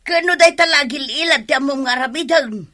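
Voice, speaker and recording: A woman's voice: high voice, a little fast, bright, forceful tone. A woman acting in a radio drama as a nagging, exasperated wife who complains and scolds.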